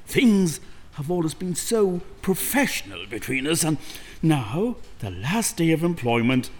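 An actor in an audio drama playing a menacing, smooth-talking government recruiter and kidnapper, with a mannered, mock-polite delivery. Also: male voice, fairly high pitch, big pitch swings, measured pace, darkish tone. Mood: smug menace.

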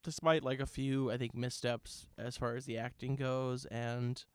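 A man co-hosting a podcast, chatting casually about reviews in measured, hesitant phrases. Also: medium pitch, level tone, clean tone, no room sound. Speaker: podcast co-host.

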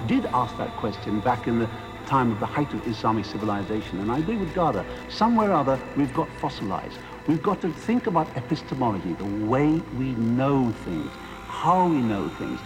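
A man lecturing reflectively and deliberately on religion, stressing words by repetition. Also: medium pitch, rough recording. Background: music.

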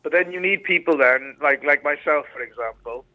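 A young man with a Welsh accent talks earnestly, stumbling over restarts and fillers. His voice comes through a thin, phone-like line that cuts away the lows and highs. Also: normal speed, no room sound. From Wales. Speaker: interview guest. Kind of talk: casual interview over a call. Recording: tinny phone-line sound.

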